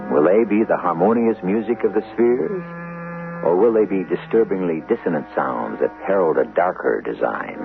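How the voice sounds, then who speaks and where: A man's voice: deep voice, lively intonation, normal speed, creaky, over music. A man hosting and narrating a 1970s radio mystery, with a portentous, rhetorical scripted delivery. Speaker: radio drama host and narrator. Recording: muffled, humming old radio broadcast.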